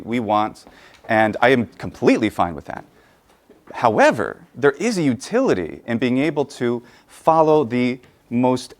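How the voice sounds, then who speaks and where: A man lectures to a room at a conference. He thinks aloud as he talks, pausing on fillers and starting phrases over. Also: mid-range voice. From United States. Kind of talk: conference talk.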